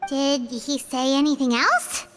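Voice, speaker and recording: Very high, squeaky childlike sidekick. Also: female voice, measured pace, faint music behind. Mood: curious. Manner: excitable, refers to self in third person. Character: small childlike floating companion, chatty, curious, easily spooked. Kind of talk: video game character dialogue.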